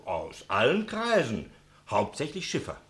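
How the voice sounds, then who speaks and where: A man's voice: medium pitch, huge pitch swings, a little slow, fairly bright tone. A man acting in a German radio play as an obliging, chatty innkeeper with a deferential manner.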